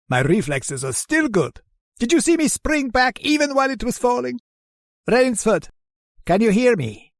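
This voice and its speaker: An actor playing a genteel, sadistic general who hunts men, speaking with mocking, formal courtesy in an old-time radio drama style. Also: male voice, high-pitched, no echo, clean studio sound. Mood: gloating, amused.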